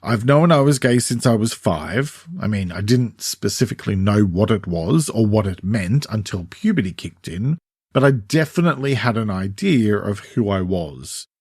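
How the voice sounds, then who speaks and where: A middle-aged man with an Australian accent hosting a scripted solo podcast in a measured, deliberate, conversational way. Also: fairly low pitch, husky, no room sound, clean studio sound. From Sydney, Australia. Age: 40s or 50s. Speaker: podcast host, mental health counsellor.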